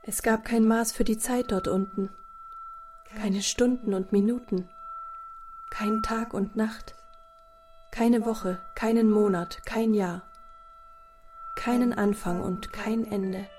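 A woman narrating a children's audio story in a measured way, speaking directly to young listeners. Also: medium pitch, fairly even intonation, a little mellow, a little hiss or hum, close to an everyday voice.

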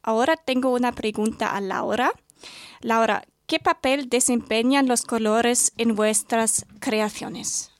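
A woman's voice: a little high, slow, no echo. A woman hosting an interview, thanking guests and passing questions along as moderator. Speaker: host, moderator.

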